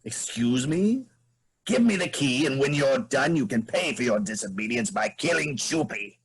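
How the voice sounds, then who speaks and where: A man's voice: mid-range voice, animated, moderate pace, breathy edge, low-quality recording. A man playing a villainous, commanding moose queen in a staged play reading. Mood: commanding, irritated. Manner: commanding, menacing.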